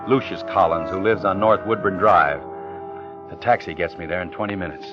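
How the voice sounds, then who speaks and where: An American actor in middle age playing an anxious, coolly polite killer, narrating in clipped, intense phrases in old radio drama style. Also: male voice, deep voice, moderate pace, natural voice. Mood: tense, urgent. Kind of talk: 1940s-50s radio suspense acting, narrating. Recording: muffled old radio recording, organ music behind.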